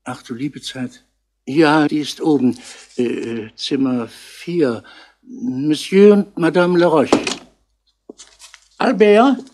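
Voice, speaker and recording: A shady hotel clerk in an old radio crime play. The voice sits fairly high for a male, offhand and mocking, never giving a straight answer willingly. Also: slow, long pauses, darkish tone. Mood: grudging. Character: evasive, flippant male clerk of a seedy hotel. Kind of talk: 1960s German radio crime drama acting.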